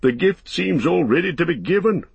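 A man playing a high-pitched, proclaiming old king. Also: animated, moderate pace, gravelly, slightly rough, no echo, exaggerated voice. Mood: proud proclamation. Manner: grand, ceremonial, declaiming.

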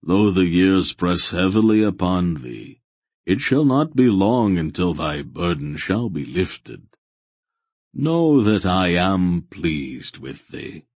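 A stern, ancient god as a male narrator plays him, speaking in a very low, slightly rough voice. He is grave and majestic, issuing archaic commands slowly and solemnly in a fantasy storybook. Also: big pitch swings, dry recording, full character voice. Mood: solemn, approving. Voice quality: soft, solemn voice.

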